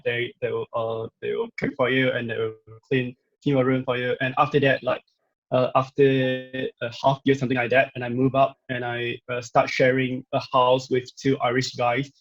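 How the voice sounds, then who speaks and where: A young man from Malaysia, a native Chinese speaker, who speaks halting English as a student guest on a video call. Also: mid-range voice, highs cut off. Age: young adult. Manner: halting, with simple phrasing.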